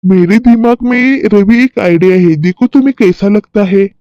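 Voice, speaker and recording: A man's voice: high-pitched, normal speed, no room sound, close to an everyday voice. A man voicing a bossy, needling older husband and father-in-law in a cartoon story, on a slightly muffled recording. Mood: confiding. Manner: instructing, needling.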